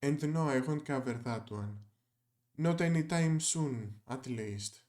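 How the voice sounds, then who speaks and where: A man's voice: mid-range voice, measured pace, darkish tone. A young man whose first language is Greek, narrating a scripted video essay with dry, wry asides.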